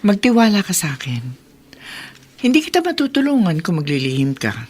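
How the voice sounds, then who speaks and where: A woman's voice: mid-range voice, sing-song, a little fast, darkish tone. A woman playing a caring, middle-aged aunt in a radio drama, coaxing and frank. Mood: concerned, coaxing. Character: caring, probing, understanding aunt. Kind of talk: Tagalog radio drama acting.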